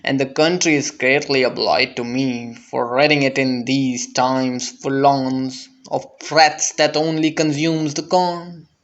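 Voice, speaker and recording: A man's voice: medium pitch, level tone, slow pace, hardly any pauses, dull audio. A man voicing a callous, pompous bishop in a dramatic recital of a poem. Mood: smug, callous. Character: callous wicked bishop, smug and cruel.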